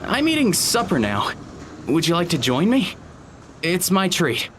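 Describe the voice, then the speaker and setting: An actor playing an awkward, polite teenage high school boy in an audio drama, speaking hesitantly with small stammers. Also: wildly swooping pitch, unhurried, fairly bright tone, slight background noise, exaggerated voice. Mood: awkward, eager. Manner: hesitant, stammering, nervous chuckles.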